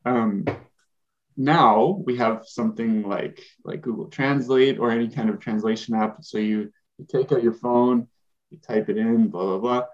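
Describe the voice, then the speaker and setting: A man's voice: medium pitch, steady tone, measured pace, a little mellow. A man co-hosting a podcast, speculating eagerly and laughing as he talks. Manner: enthusiastic, laughs while speculating. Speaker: podcast co-host. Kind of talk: casual chat. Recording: slightly dull lo-fi sound.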